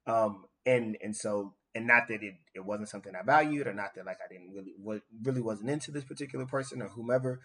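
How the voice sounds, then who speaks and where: A man's voice: fairly low pitch, fast talker, hardly any pauses, bright, forceful tone. A man co-hosting a casual podcast chat, earnest and thoughtful, with many fillers.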